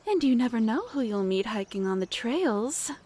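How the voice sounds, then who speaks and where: A woman's voice: fairly high pitch, sing-song, normal speed, mellow, husky. A woman playing a flirtatious, mature, motherly cabin owner in an ASMR roleplay, coaxing and doting with pet names. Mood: wistful.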